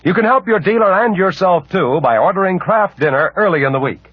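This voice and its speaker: A man's voice: high-pitched, expressive, fairly quick, slightly rough, no room sound. An American man reading a scripted 1940s radio commercial as announcer. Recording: muffled 1940s radio recording.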